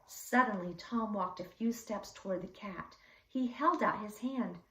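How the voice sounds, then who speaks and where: A woman reading a children's story aloud expressively, with deliberate, dramatic emphasis for young listeners. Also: mid-range voice. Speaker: read-aloud storyteller for schoolchildren. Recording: echoey room, slightly distant mic.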